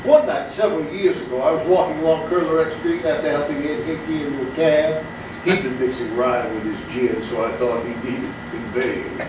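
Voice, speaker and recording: An actor playing a gentle, courtly, dreamy barfly, telling tales unhurriedly in a theatrical comedy. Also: male voice, a little high, fairly even intonation, natural voice. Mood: fond, amused. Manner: genteel, unhurried storytelling. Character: gentle, courtly, dreamy male barfly with an imaginary rabbit friend. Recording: distant, very echoey, noisy stage recording.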